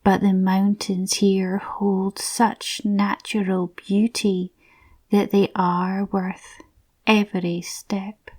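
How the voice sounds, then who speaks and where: A woman softly narrating a scripted guided visualisation in a soothing, breathy, near-whispered voice. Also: mid-range voice, flat delivery, slow pace.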